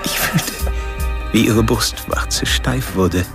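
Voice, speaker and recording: An actor playing a cold, obsessive serial killer who confides his fantasies slowly and deliberately in a radio drama monologue. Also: lowish voice. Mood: cold, intent. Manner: slow, deliberate, self-absorbed confiding. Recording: voice over background music.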